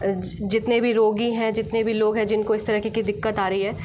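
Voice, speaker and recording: A woman's voice: mid-range voice, level tone, brisk, darkish tone, a little hiss or hum, poor audio. A young woman hosting a conversational radio interview, asking wordy, meandering questions.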